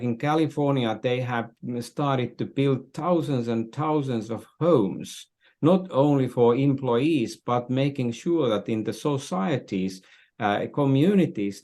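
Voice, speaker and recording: Older guy from finland on a podcast, talks slow and halting, earnest, explaining his ideas. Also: medium pitch, a little mellow. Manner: earnest, deliberate, halting phrasing. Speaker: podcast guest, business strategist. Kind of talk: conversational interview, explaining views.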